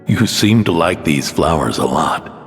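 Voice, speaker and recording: A man voicing a doting, philosophical inventor father in a video game, reading letters in a soft, measured, tender voice-over. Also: extremely low pitch, lively intonation, soft background music, exaggerated voice. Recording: long reverb on the voice.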